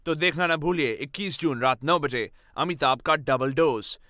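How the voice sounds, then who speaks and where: A man's voice: a little high, racing, bright, forceful tone, no echo, clean studio sound. A man working as a voice-over artist, giving a polished commercial and promo read. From Singapore.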